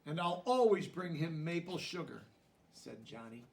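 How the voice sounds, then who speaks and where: A man reading a children's picture book aloud, deliberately, with simple explanatory asides in plain words. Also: fairly high pitch, very animated, creaky, recorded from a distance. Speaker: storybook reader.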